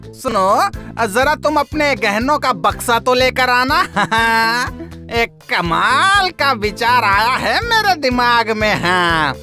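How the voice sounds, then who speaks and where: A woman's voice: fairly high pitch, pushed and bright, heavily acted. A woman voicing a greedy, sly male shopkeeper in a cartoon moral story, muttering and repeating words in a wheedling way. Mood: plotting. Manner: drawn-out, repetitive muttering with sly chuckles.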